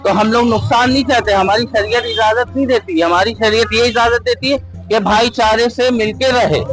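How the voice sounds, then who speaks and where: A man's voice: very high voice, moderate pace, fairly bright tone, rough recording. A young man answering a street interview insistently, repeating himself and punctuating points with questions. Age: young adult. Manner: insistent, repetitive, tag questions. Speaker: member of the public interviewed.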